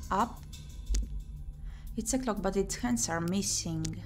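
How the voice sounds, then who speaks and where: A woman's voice: medium pitch, takes it slowly. A woman streaming a visual novel playthrough, reading game text aloud expressively and muttering short asides to herself. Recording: music playing behind the voice.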